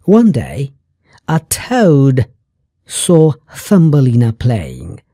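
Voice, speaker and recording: Very slow, expressive storyteller. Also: medium pitch, no room sound, studio quality. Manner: deliberate chunked phrasing with pauses and exaggerated rise and fall. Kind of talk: slow read-aloud children's fairy tale narration for English learners.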